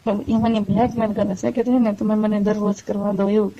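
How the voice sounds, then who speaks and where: A woman playing a worried middle-aged aunty who clings to a secret lover, with a Gujarati accent and a halting, pleading manner in a staged phone call. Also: medium pitch, flat delivery, mellow, a little hiss or hum, lightly acted. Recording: dull lo-fi phone call recording.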